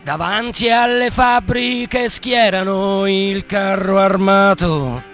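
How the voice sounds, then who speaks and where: A man delivers a protest ballad in a high voice, very slowly. He moves between singing and declaiming in long rhythmic phrases, with light music behind him. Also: a bit bright. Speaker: singer and stage performer. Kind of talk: protest ballad, sung and chanted in radio theatre.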